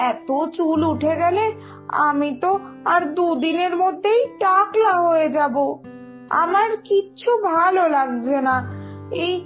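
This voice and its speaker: A woman voicing a sulky young sister-in-law in a cartoon story, complaining in a pouting, dramatic way. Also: extremely high pitch. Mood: fretful, complaining. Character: whiny, sulky young sister-in-law fretting over her looks. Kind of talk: Bengali animated moral story dialogue. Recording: music behind the voice.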